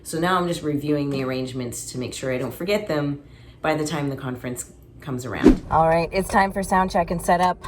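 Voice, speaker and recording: A young woman with a naturally low voice, chatting casually to camera as a vlogger and worship leader. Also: fairly quick, heavy background noise. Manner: chatty and upbeat. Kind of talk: casual vlog talk to camera.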